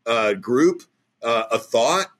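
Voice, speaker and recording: A man's voice: medium pitch, expressive, slow pace, fairly bright tone. A man in his forties, a podcast guest, talking in a rambling, emphatic way over a video call from a room at home. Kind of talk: casual interview talk.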